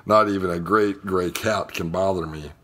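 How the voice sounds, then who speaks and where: A man's voice: low-pitched, normal speed, fairly bright tone. A man voicing a tough, boastful little female cat in a children's storybook. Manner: boastful, self-assured.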